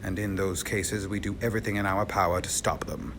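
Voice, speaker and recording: A man's voice: sits low, little pitch movement, brisk, lightly acted. A man playing an authoritative investigator of otherworldly visitors in a radio drama, speaking with dramatic assurance. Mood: grave, explanatory. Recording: clear background noise.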